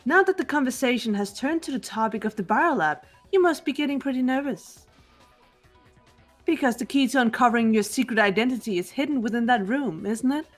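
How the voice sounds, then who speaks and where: A woman voicing a cool, calm, sharp-witted young woman in a fan dub of a video game, speaking in a measured way. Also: fairly high pitch, faint music behind.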